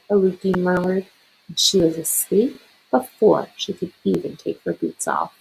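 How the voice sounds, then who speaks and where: A woman reading a children's picture book aloud as a storyteller, expressive and deliberate. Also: fairly low pitch, slight background noise, poor audio.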